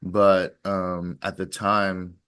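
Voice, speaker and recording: A man whose first language is Korean, co-hosting a podcast and chatting casually with his wife in a relaxed, reflective way that often trails off. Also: sits low, drawn-out words, clean tone. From New Jersey, USA. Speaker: podcast co-host.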